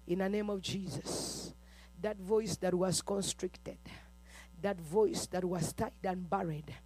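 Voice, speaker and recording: A man's voice: high-pitched, steady tone, slow pace, darkish tone, soft background music. A man leading a fervent chanted prayer with rhythmic, repetitive declarations full of "uh", breaking into tongues between them. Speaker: preacher.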